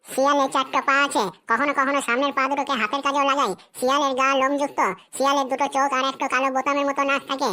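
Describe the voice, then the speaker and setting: A woman's voice: sits high, flat delivery, very fast, hardly any pauses, bright, forceful tone. A woman voicing a cocky schoolboy in a cartoon who rattles off a memorized essay in a sing-song recital. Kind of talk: cartoon voice acting.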